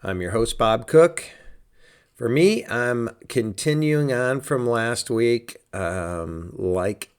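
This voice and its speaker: A man with a raspy, hoarse voice from a sinus cold, hosting a casual church podcast in an easygoing, chatty way. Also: mid-range voice, animated, slow. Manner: easygoing, chatty, with many fillers. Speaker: podcast host.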